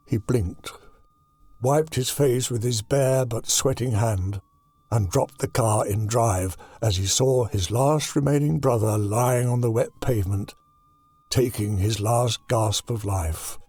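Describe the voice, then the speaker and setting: A man narrating a horror audiobook in a deliberate, dramatic storytelling manner. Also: medium pitch, a little mellow, dry recording, clean studio sound.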